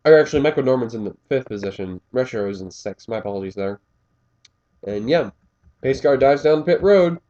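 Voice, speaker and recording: A man's voice: medium pitch, expressive, fairly quick, a little mellow, muffled recording. Scratchy-voiced man calling a race. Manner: casual, rambling asides with frequent fillers and self-corrections. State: slightly scratchy voice. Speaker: race commentator. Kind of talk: play-by-play commentary of a simulated race.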